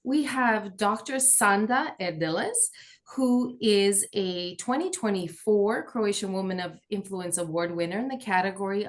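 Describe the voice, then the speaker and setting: A woman hosting a podcast, introducing her guest and asking questions. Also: medium pitch. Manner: scripted introduction, then conversational. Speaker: podcast host. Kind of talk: podcast interview.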